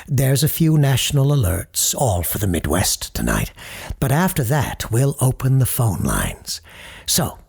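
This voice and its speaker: A man's voice: mid-range voice, huge pitch swings, a little slow, creaky, a little mellow, breathy edge, full character voice. A man playing a courteous, grave parapsychologist who hosts a late-night paranormal radio show, speaking formally and with ominous understatement in an audio drama. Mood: courteous, wry.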